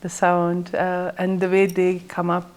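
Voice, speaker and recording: A woman's voice: on the low side, completely flat delivery, unhurried, a little mellow. A middle-aged woman from Sri Lanka who is a teacher and school owner, talking plainly and reflectively about her life, with frequent small hesitations. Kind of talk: interview, recounting her life.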